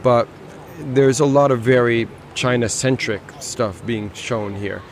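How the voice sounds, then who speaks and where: A man's voice: mid-range voice, measured pace, a little hiss or hum. A man casually talking about cars on a motor show floor, rambling a little with restarts. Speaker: car show reviewer. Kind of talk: casual walkaround chat. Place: busy motor show hall.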